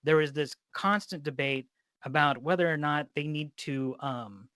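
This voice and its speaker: A man's voice: a little high, a little slow, fairly bright tone, no room sound, clean studio sound. A middle-aged man hosting a casual interview, talking in a rambling, unfiltered way with some swearing. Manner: rambling, profane.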